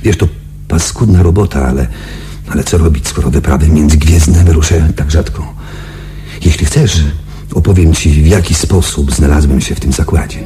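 An actor playing a shaken young space patrol pilot, confiding his story in a halting, dramatic radio-play delivery. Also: a bass voice, over music. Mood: subdued, reflective.

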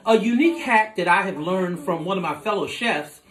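A man in his forties hosting a home cooking demonstration, explaining each step in a relaxed, instructive way as he works at his kitchen counter. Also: high voice, moderate pace, reverberant, soft background music. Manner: relaxed, instructive, talks while working with his hands. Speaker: cooking show host. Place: home kitchen with dining room behind, indoors.

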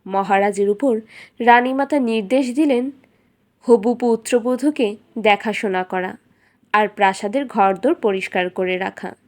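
A woman's voice: mid-range voice, unhurried. A woman reading a scripted fairy tale as the storyteller of a cartoon.

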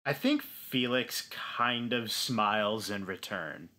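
A man describing his character in a tabletop role-playing game, feeling his way haltingly and repeating words. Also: on the low side, pushed and bright. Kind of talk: improvised description of a character in actual play.

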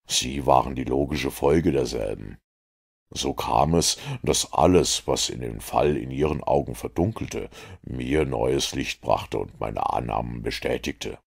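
A man voicing a calm, confident detective who explains his reasoning in a measured, lecturing way. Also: extremely low pitch, creaky, slightly rough, exaggerated voice. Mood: explaining. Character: calm, confident male detective, middle-aged.

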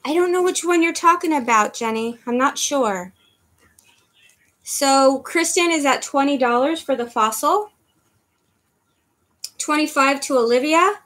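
Chatty high-voiced woman auctioning handbags. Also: measured pace, pauses often, a bit bright. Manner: chatty, reads out bids and thanks viewers by name. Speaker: online auction host selling handbags. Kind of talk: live-stream auction patter. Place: living room at home, indoors. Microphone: webcam in front of her.

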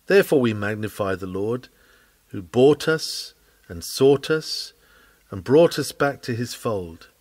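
A man reading a scripted sermon aloud in an earnest, measured, expressive preaching manner. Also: medium pitch, dry recording. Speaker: reader of a historical sermon.

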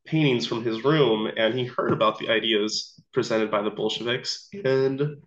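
A young man, sick and a little off voice, walking through a slideshow activity in a casual, halting way with many ums. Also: mid-range voice, a bit bright. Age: young adult. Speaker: student teacher presenting an activity. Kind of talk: recorded slideshow walkthrough. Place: small room indoors. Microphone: laptop webcam mic. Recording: dull lo-fi video call audio.

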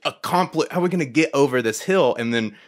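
Male podcast talker, medium pitch, conversational film chat, expressive storytelling with acted-out reactions. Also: fast. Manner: animated storyteller, acts out his reactions as quotes. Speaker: podcast guest or co-host. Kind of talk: casual film discussion on a podcast.

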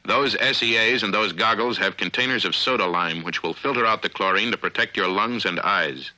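An American man narrating a scripted 1950s military training film in a measured, authoritative, instructional way, on a vintage film soundtrack. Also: lowish voice, bright, forceful tone, rough recording.

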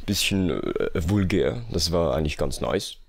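Young man, Swiss German accent, low voice, casual gamer commentary, sarcastic, self-mocking, slangy. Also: expressive, measured pace, creaky, no echo. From Switzerland. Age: young adult. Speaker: YouTube gamer.

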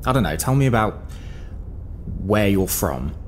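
An actor playing a powerful, officious gatekeeper in an audio drama, teasing and condescending with mock politeness. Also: male voice, on the low side, very animated, measured pace, slight background noise. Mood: exasperated.

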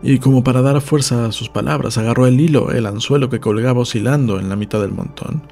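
Male narrator for literary audiobook, creaky mellow delivery, faint music underneath. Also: mid-range voice, quick pace.

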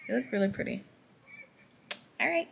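A young woman talking casually into her phone camera, relaxed and rambling. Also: a little high, normal speed, gravelly, background noise. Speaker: vlogger. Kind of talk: casual vlog chat. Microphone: phone camera close up.

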